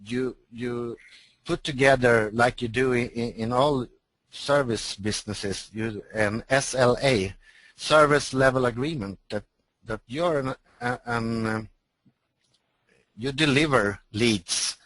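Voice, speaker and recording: A man with a Swedish accent, a native Swedish speaker, co-hosting a webinar and answering in halting English with many restarts. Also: medium pitch, fairly bright tone, no room sound, low-quality recording. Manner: halting, many restarts and fillers, searching for words. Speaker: webinar co-host.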